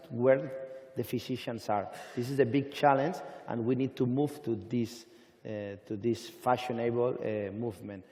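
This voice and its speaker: A man on an industry panel, speaking deliberately with halting, non-native phrasing. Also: medium pitch, dark tone. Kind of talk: panel discussion. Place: conference hall stage. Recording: hall reverb from conference stage.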